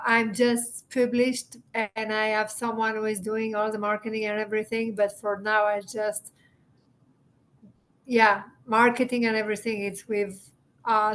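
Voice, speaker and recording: A woman who works as a writing guide talks on a group call in halting, loosely phrased speech. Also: mid-range voice, fairly bright tone, low-quality recording. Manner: halting, non-native phrasing. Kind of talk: casual group video call.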